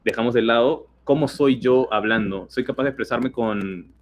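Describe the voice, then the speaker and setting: A man's voice: medium pitch, normal speed. A teenage man from Peru with a Peruvian accent, giving a webinar talk in an explanatory way with many fillers and restarts. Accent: Peruvian Spanish. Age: teens. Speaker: guest speaker.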